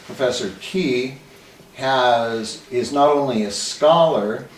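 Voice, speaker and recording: A man introducing his interview guest in a halting way, with many uhs. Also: medium pitch. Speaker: interviewer, magazine editor. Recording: echoey room, mic at a distance, faint hiss.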